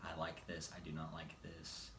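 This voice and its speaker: A man in his twenties with a very low voice talks informally and sincerely in a question-and-answer chat. The room is echoey, with faint noise around his voice. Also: completely flat delivery, normal speed, sharp tone. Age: 20s. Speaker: husband answering viewer questions with his wife. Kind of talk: casual couple Q&A chat.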